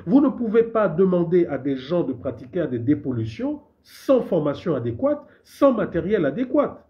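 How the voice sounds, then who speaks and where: A man from Gabon, fighting a cold, speaks out as a civil society activist in an indignant, emphatic voice that swings widely in pitch and sits fairly high. He keeps stumbling and repeating words, then pushes on. The recording is dull and lo-fi. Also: big pitch swings, moderate pace. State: has a cold. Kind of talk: impassioned public statement.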